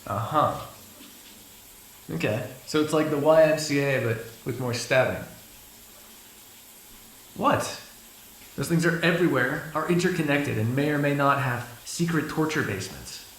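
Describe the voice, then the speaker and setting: A man's voice: on the high side, measured pace, pauses often, fairly bright tone, slight background noise, low-quality recording. A man playing a sarcastic, flirtatious vampire in an audio roleplay, teasing and swearing casually. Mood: incredulous sarcasm. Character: snarky, flirtatious, insatiable male vampire on the run. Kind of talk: ASMR roleplay acting, one side of a dialogue.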